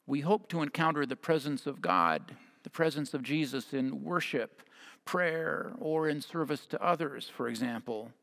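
An American man in his fifties preaching a sermon as a pastor, speaking in measured short phrases, partly reading from notes. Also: on the high side, animated, creaky, a bit bright. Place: church sanctuary at a lectern. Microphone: headset mic.

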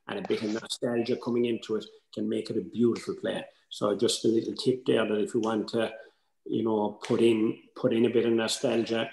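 A man's voice: fairly low pitch, gravelly, darkish tone. An older man giving conversational, explanatory advice as a workshop co-presenter over a video call. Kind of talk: informal advice to writers. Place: indoors, room at home on video call. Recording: echoey room, slightly noisy video call.

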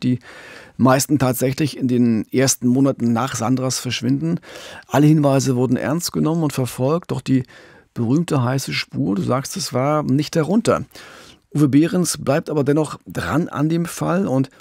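A middle-aged man co-hosting a true-crime podcast, explaining cases in a measured, factual, journalistic way. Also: medium pitch, vocal fry, a little mellow, no echo, studio quality. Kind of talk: journalistic podcast storytelling in dialogue.

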